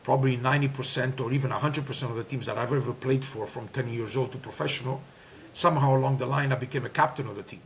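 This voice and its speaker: Greek-accented man, gravelly voice, faint hiss under it; emphatic interview guest. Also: medium pitch, fairly even intonation, fast talker. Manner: talkative, emphatic, many fillers and restarts. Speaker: guest, former player and coach. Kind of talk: candid interview talk.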